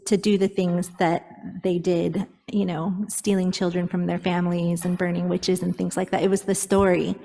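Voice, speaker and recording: A woman's voice: mid-range voice, gravelly, a little mellow. A woman poet giving a thoughtful panel talk, with wry asides and self-corrections. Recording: long hall reverb.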